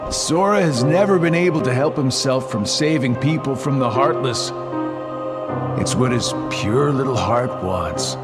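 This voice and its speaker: An actor playing a sly, mocking villainous schemer in a video game cutscene, with a teasing, drawling, insinuating delivery. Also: male voice, mid-range voice, lively intonation, a little slow, big-room sound, loud music behind the voice, close to an everyday voice.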